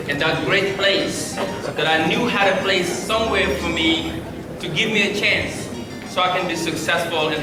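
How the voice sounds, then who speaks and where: A young man from Senegal with a Senegalese accent, giving a rousing rally speech and calling out to the crowd. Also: fairly high pitch. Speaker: campaign rally speaker. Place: large indoor reception hall. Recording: echoey hall from far off, loud crowd and noise. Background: cheering crowd.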